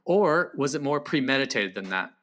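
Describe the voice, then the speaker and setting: A man's voice: medium pitch, very animated, normal speed, fairly bright tone. A man speaking as a guest film critic in a casual discussion, thoughtful and searching for words.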